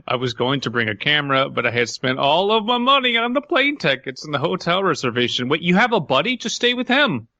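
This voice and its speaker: Male podcast co-host, bright forceful voice, sarcastic casual banter, dry but slightly dull recording. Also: fairly high pitch, very animated, a little fast, few pauses, dry recording. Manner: joking, sarcastic. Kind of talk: casual banter while reading and critiquing a horror story.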